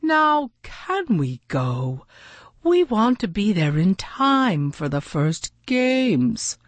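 Large exasperated bird played by a woman, wry and impatient, lively pitch, storybook ensemble part. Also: very slow, no echo, full character voice. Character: impatient, teasing big winged male bird.

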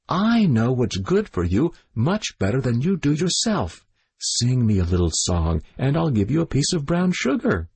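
A man voicing a gentle young princess who dotes on her pet bird, in a storybook reading. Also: medium pitch, big pitch swings, normal speed, breathy edge, pitched above the performer's own voice, heavily acted. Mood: coaxing. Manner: coaxing and earnest.

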